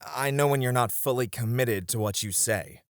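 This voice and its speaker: A man's voice: mid-range voice, normal speed, no echo, clean studio sound, natural voice. A man playing a friendly, easily flustered bartender and playful flirt in an ASMR roleplay, prone to stammering. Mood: concerned.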